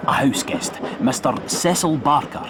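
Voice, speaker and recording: A man's voice: on the high side, measured pace, lightly acted. An actor playing a deferential local man passing on case details in a gossipy, hesitant way, in an audio drama. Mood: gossipy, careful. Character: deferential local male informant on a murder case. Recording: clearly noisy outdoor background.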